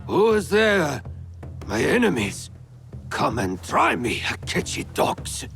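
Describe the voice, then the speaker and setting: An actor playing a bitter, drunken old fallen samurai lord in a video game, self-pitying and dramatic. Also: male voice, a little high, wildly swooping pitch, slow pace, gravelly, full character voice. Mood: hostile. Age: older. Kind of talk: video game cutscene acting. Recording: loud music behind the voice. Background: loud music.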